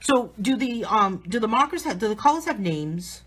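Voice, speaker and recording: A woman's voice: a little high, expressive, normal speed, a crowd in the background. A woman with a Southern New England accent, chatting casually as she hosts a live coloring demo. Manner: chatty, upbeat, restarts often. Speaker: artist and livestream host.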